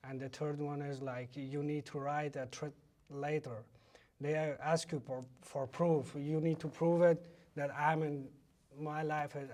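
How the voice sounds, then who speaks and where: A man from Afghanistan with an Afghan accent, a native Pashto speaker, answering interview questions in halting English. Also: medium pitch, a little mellow. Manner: halting, non-fluent English with repeated phrases. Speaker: interview guest, former military interpreter.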